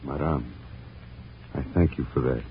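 An actor playing a stiff, formal French doctor with solemn delivery in a 1940s radio drama. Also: male voice, extremely low pitch, a little slow. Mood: humbled. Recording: noisy, phone-like old radio recording.

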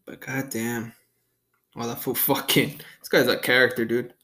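A man's voice: mid-range voice, flat delivery, moderate pace, pushed and bright, a little hiss or hum. A young man hosting a casual solo podcast, rambling and swearing freely in a laid-back way. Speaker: podcast host.

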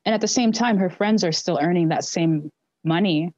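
A woman with a low, mellow voice co-hosts a relaxed book discussion. She muses her way through each thought and often backs up to rephrase. The recording is dry and a little muffled. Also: moderate pace, dry recording. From Zambia, raised in the United States. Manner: reflective, thinks aloud.

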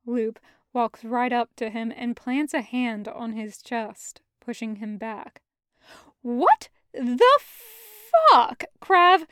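A woman's voice: fairly high pitch, little pitch movement, slow pace, darkish tone, clean tone, dry recording, studio quality. A woman reading scripted fiction as the narrator of an audio story. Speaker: podfic narrator.

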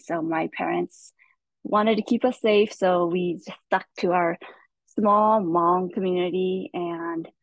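A young woman from California telling her life story as a podcast guest, soft-spoken and hesitant with many pauses. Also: on the low side, dry recording, rough recording. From Stockton, California.

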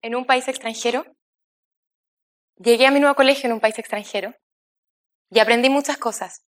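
A woman from Chile with a Chilean accent, giving a scripted personal testimony with a slow, measured, emphatic delivery. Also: on the high side, fairly even intonation.

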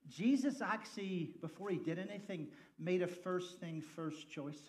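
A man's voice: sits high, moderate pace. A man, a pastor, opening a church service with jokes, then speaking in a chatty, casual way. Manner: joking, chatty, loud laughing asides. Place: church sanctuary.

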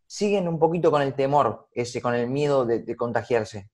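A man's voice: medium pitch, moderate pace, a little mellow. A man with an Argentine Spanish accent, asking questions in a loose, conversational way and often repeating words. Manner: casual, repeats words while framing questions. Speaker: interviewer. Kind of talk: conversational interview.

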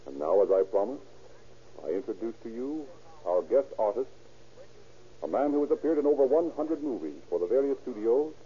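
An American man hosting and narrating a 1930s radio program in formal, measured scripted announcements. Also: lowish voice, a little hiss or hum. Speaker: narrator and host. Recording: tinny muffled 1930s radio recording.

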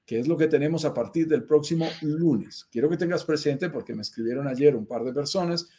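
A man's voice: on the high side, a little fast, mellow. Colombian man hosting a live show. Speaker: host and commercial director of a real estate investment business. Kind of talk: conversational live show presenting.

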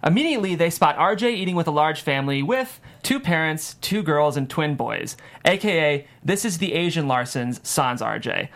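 A woman's voice: low-pitched, animated, moderate pace, few pauses. A woman reading scripted narration and stage directions at a comedy table read.

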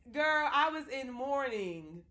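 Lively, frank young woman telling stories. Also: high voice, unhurried. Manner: frank, profane, storytelling with lots of "like". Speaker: podcast co-host. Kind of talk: casual chat.

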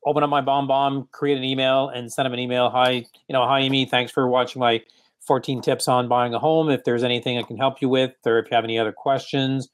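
A man with a slight French accent, a real estate agent talking through his email follow-ups, halting and often restarting his sentences. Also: medium pitch, flat delivery. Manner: halting, restarts often, many "you know"s. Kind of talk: casual coaching conversation.

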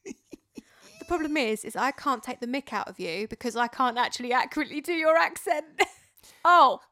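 A woman's voice: high voice, animated, moderate pace, pushed and bright. Young woman with a Dartford accent. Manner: teasing, laughs often. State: pregnant. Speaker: podcast co-host. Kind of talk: casual chat.

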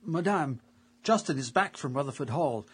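Formal Victorian manservant in radio play: husky, deferential, lively intonation. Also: male voice, fairly high pitch, moderate pace. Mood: grave. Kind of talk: period radio drama acting.

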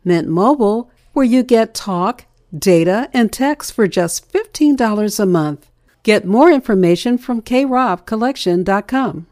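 A woman's voice: medium pitch, animated, a little slow, darkish tone, no echo, clean studio sound. A woman reading a scripted commercial voice-over. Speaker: advertisement announcer.

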